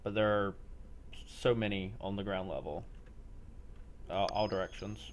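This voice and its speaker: Male game master, low voice, matter-of-fact improvised narration, audible background noise. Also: unhurried. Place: online group game session.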